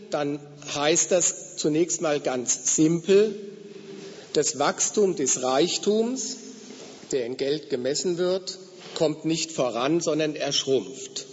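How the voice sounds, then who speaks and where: A slightly hoarse man with a fairly high voice delivers a measured, explanatory lecture. The sound is dull and lo-fi, with a long reverb from the hall around him. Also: darkish tone. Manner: measured, explanatory, with occasional fillers. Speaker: guest lecturer. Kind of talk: academic lecture.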